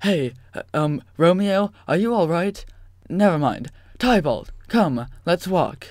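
A man's voice: high voice, lively intonation, slow pace, a little mellow, no echo, clean studio sound. A man playing a cocky, quarrelsome young sock puppet with a sarcastic, theatrical manner. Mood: taunting.

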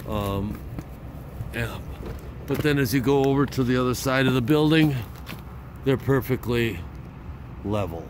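An older man giving a casual, halting walkthrough of a barn frame he is restoring, filming himself with a handheld phone outdoors. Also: fairly low pitch. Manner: unhurried, halting with many fillers. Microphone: phone camera held at arm's length. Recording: outdoor phone audio with clear background noise.

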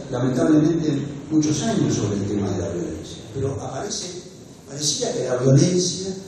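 A man in his sixties with an Argentine Spanish accent, giving a measured, earnest formal speech at a desk microphone in a wood-panelled university hall. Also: fairly high pitch, a little mellow, a little hiss or hum. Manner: measured and earnest, gestures with his hands, pauses often mid-phrase. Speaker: speaker at a book presentation. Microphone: desk microphone on a stand. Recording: very echoey hall, voice picked up from a distance.

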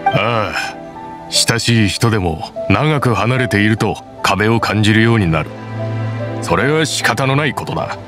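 A man's voice: lowish voice, expressive, moderate pace, lightly acted. A man voicing an easygoing young boatbuilder. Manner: easygoing, modest, reassuring. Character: friendly young male boatbuilder. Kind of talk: video game voice acting. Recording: game audio with music.

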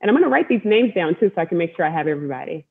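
A woman's voice: on the low side, big pitch swings, racing, slightly rough. An American woman speaking encouragingly as host to an online group, repeating words for emphasis, on a video call. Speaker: host, storytelling athlete. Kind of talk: welcoming talk to an online group. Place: room at home. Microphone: wireless earbud on video call. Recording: narrow-band video call audio.

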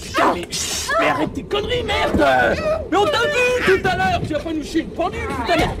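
A man playing a vulgar, menacing thug who shouts, swears and jeers in a French radio drama. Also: very high-pitched, animated, fairly quick, over a noisy crowd, heavy background noise. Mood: exasperated, aggressive. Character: vulgar, menacing male thug, loud and bullying. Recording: distant voice in loud train din.

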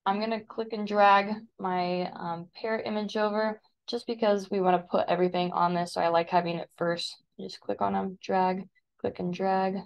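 An American woman teaching a step-by-step software tutorial in an informal, instructive way. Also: medium pitch, flat delivery, moderate pace, creaky, dull audio. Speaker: digital design teacher. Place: voice-over for a screen recording.

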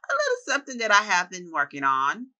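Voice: mid-range voice, huge pitch swings, quick pace, pushed and bright, heavily acted. A narrator voicing a smug male inventor who is pleased with his own work.

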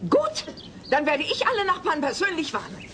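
A woman playing an overwrought, alarmist, nosy neighbour with a shrill manner in a German sitcom audio drama. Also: wildly swooping pitch, normal speed, exaggerated voice. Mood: alarmed, hysterical. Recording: loud noise, old cassette audio drama.

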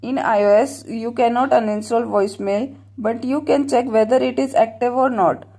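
A woman narrating a scripted step-by-step tutorial, reading aloud somewhat haltingly. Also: on the high side, warm tone, breathy edge. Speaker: tutorial presenter.

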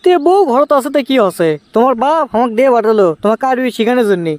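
A man's voice: very high voice, animated, fast, no room sound. A man voicing an insistent, scolding village Arabic teacher with a Bogura Bangladeshi accent in a comic cartoon.

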